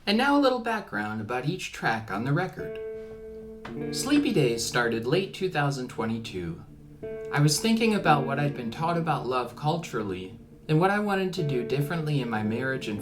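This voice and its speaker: Man narrating with lively, swooping pitch over music. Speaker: musician narrating the making of own album. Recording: ambient music behind the voice. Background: ambient background music.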